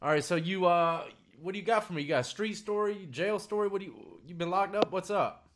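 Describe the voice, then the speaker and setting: A man's voice: high voice, animated, a little fast, background noise. A man in his thirties with an American accent, hosting a show in a casual, slangy, informal way. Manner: informal, slangy, addresses listeners as "man" and "y'all". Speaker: host of a story-time video show. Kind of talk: casual chat. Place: small room with gaming setup at home.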